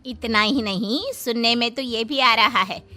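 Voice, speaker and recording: A woman's voice: fairly high pitch, a little slow, sharp tone. A woman voicing a gossipy, moralising village neighbour in a cartoon story, with a knowing manner. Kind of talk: Hindi cartoon story dubbing. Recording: music behind the voice.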